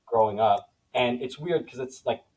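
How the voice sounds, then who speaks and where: A man's voice: medium pitch, moderate pace, darkish tone, poor audio. A man who grew up in the Philippines, hosting a podcast, chatting casually and rambling with many restarts. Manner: rambling, repeats words, restarts questions. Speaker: podcast host. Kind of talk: casual interview chat.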